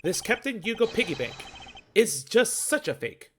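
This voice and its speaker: A man's voice: sits high, sing-song, normal speed, a bit bright, a little hiss or hum, pitched above the performer's own voice. Man voicing a formal, theatrical video game prosecutor. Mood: assertive, explaining. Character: poised, confident male prosecutor in a mystery game, arguing his case. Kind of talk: fan dub of a visual novel game. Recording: music behind the voice.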